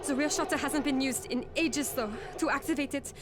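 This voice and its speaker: A woman's voice: high voice, brisk, close to an everyday voice. An actress playing a gracious but wary woman who survived a plague, polite and sober, in a video game. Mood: grateful, practical. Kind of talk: video game cutscene acting.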